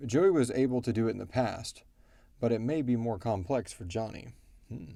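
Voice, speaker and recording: A man co-hosting a casual question-and-answer chat, thinking aloud and explaining things in a halting way. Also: mid-range voice, expressive, creaky, warm tone, no room sound, studio quality. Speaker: podcast co-host and show creator answering fan questions.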